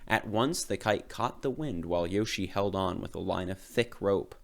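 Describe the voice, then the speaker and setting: A man reading a storybook aloud with expressive, dramatic narration. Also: deep voice, normal speed, gravelly. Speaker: storybook reader.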